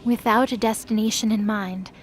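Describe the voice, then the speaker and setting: A woman's voice: mid-range voice, fairly even intonation, normal speed, a little hiss or hum. A woman reading scripted dramatic story narration as the narrator of a fantasy audio drama.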